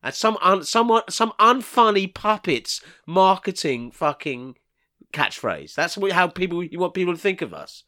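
A man's voice: sits high, big pitch swings, moderate pace, bright, forceful tone. A man co-hosting a podcast in casual banter, sweary and mock-indignant, sounding a bit bunged up from allergies. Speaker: podcast co-host.

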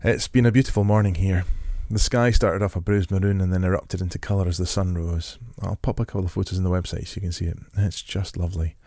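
Male podcast host, low voice, dry close sound, gentle and relaxed in his questions. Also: fast, few pauses, dry recording. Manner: gentle, descriptive intro, then relaxed questions. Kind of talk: podcast interview. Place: rural home.

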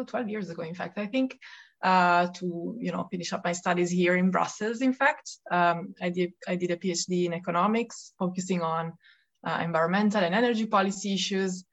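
A woman in her thirties speaks English with an Italian accent in a low register. She is a podcast guest who thinks aloud about policy, pausing often on fillers and restarting her sentences. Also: lo-fi sound. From Italy. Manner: thoughtful, many fillers and restarts. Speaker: research fellow, podcast guest. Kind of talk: casual policy discussion.

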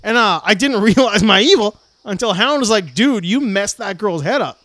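A man with a notably high voice tells stories in a loose, rambling way, laughing often and bouncing into quick acted-out bits of dialogue. The sound is close and dry, with no room behind it. He comes across as a young adult in easy podcast banter. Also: dry recording. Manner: rambling storyteller, laughs often, animated retellings. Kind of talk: casual chat.

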